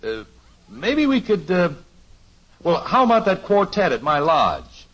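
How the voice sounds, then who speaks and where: A man's voice: high voice, huge pitch swings, unhurried, fairly bright tone, full character voice. An actor playing a flustered, good-natured suburban father in a 1950s radio sitcom, hesitant and stalling with fillers, heard on a dull lo-fi old radio recording.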